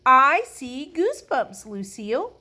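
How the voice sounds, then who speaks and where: A woman voicing an eager, smitten little schoolboy in a storybook, calling out in a loud, excitable manner. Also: high voice, measured pace, voice pushed up, heavily acted. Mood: excited.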